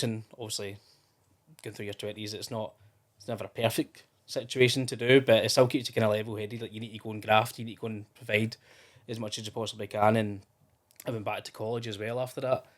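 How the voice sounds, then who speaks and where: A Scottish man in his thirties, a podcast guest in casual, rambling conversation with many restarts. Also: on the low side. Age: 30s. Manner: chatty and rambling, with frequent restarts and fillers. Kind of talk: casual interview chat.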